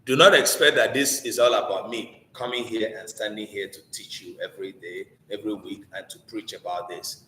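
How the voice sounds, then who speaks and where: Male language teacher, Igbo accent from Nigeria, slightly deep, earnest informal lecturing with rhetorical questions, echoey live-class recording. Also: steady tone, fairly quick, few pauses, bright, forceful tone, slight background noise. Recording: echoey room with long reverb.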